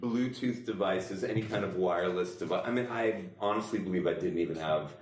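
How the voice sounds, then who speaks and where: A man's voice: low voice, brisk, music in the background. A man co-hosting a podcast in casual comedic banter, with wry, mocking humour. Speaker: podcast co-host. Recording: roomy echo, distant from mic.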